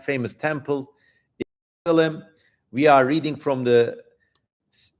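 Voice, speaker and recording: Casual man hosting a livestream. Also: medium pitch. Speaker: livestream host.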